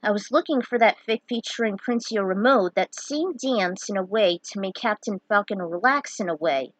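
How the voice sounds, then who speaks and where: A woman's voice: mid-range voice, moderate pace, dry recording, low-quality recording. A woman giving casual, opinionated commentary as a fan fiction author, with a blunt way of talking. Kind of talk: casual author's commentary with some story reading.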